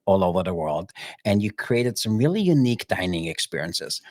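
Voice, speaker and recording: A man from Austria, hosting a podcast interview in a relaxed, joking chat style. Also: sits low, moderate pace, no room sound, clean studio sound. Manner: relaxed storyteller, jokey asides. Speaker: podcast host.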